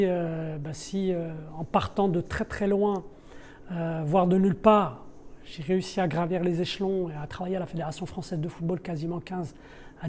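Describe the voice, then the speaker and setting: A man's voice: high voice, warm tone, a little hiss or hum. A middle-aged man, not a native French speaker by birth, explaining his work in an interview, searching for his words and repeating them. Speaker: deputy sporting director of a football club. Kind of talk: podcast interview, spontaneous explanation.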